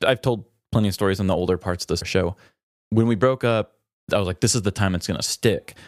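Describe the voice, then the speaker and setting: A low-voiced podcast co-host in a dry recording. The delivery is informal and conversational, suited to casual personal storytelling, with the odd swear word. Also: fast talker, no echo.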